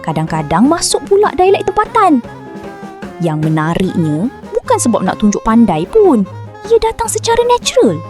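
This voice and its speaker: A woman's voice: a little high, huge pitch swings, brisk, darkish tone, soft background music. A woman reading a scripted voice-over with sing-song, swooping intonation. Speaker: narrator.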